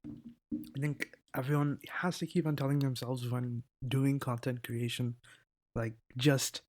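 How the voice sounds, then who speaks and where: A man's voice: medium pitch, steady tone, measured pace, a little mellow. A teenage boy chatting casually in a rambling, self-interrupting vlog, swearing now and then, into a desk mic. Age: teens. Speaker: vlogger. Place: indoors at a laptop.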